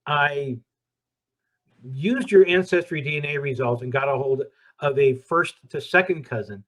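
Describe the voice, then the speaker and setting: A middle-aged man leads an interview with an upbeat, chatty manner, his voice sitting a little higher than most men's. He asks his questions plainly and keeps the conversation moving. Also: unhurried, a bit bright. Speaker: host, founder of a search service.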